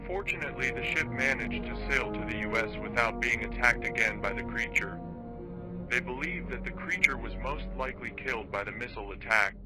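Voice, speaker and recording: A man playing a solemn documentary narrator of monster attacks, reading a formal, measured horror script. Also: medium pitch, lightly acted, rough recording. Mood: grave. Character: grave male documentary narrator reporting giant monster attacks. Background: background music.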